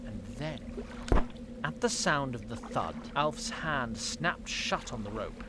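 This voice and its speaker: A man narrating a scripted fantasy audio drama in a measured storytelling manner. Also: mid-range voice, expressive, heavy background noise.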